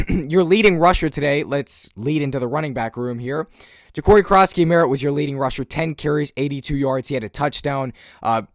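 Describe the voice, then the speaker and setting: Young male fan host, hoarse, slightly high voice, dry booth sound, throat-clearing and filler-heavy delivery. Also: dry recording. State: hoarse from screaming. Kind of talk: post-game analysis monologue.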